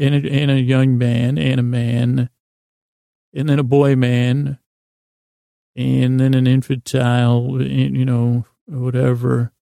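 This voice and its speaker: Male podcast host, heavy vocal fry and relaxed tone, delivering a meandering, self-interrupting bedtime monologue. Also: medium pitch, no room sound, clean studio sound. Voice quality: gravelly, creaky. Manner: meandering, halting, self-interrupting, gently silly.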